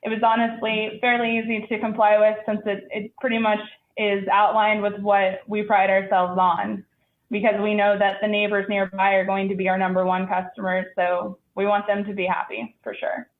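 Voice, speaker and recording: A woman's voice: mid-range voice, flat delivery, normal speed, phone-quality sound, a little hiss or hum. A woman answering questions as a company representative at a public hearing, in an assured, businesslike way. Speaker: applicant representative for a store chain. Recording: echoey room, thin band-limited sound.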